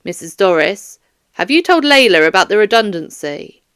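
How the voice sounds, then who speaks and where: A woman voicing a stern police detective in an audiobook, questioning a suspect in a firm, formal, measured way. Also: medium pitch, big pitch swings, a bit bright, clean tone, no room sound, clean studio sound, voice pushed down. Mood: firm, official.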